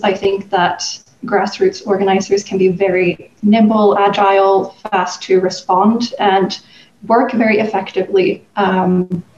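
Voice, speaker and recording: A woman's voice: medium pitch, dark tone. Woman on a panel explaining stuff conversationally, echoey room, kinda lo-fi sound. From Sweden. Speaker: panelist, nonprofit founder.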